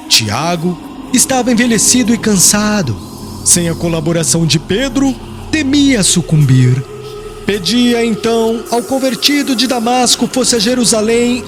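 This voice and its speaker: High-pitched man narrating solemnly over music. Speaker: audiobook narrator. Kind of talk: scripted audiobook narration of a religious novel.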